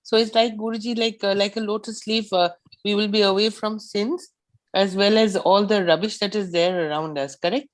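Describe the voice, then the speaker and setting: A woman with an Indian accent talking casually with her teacher as a student, haltingly, checking for agreement. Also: mid-range voice, steady tone. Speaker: student in an online class.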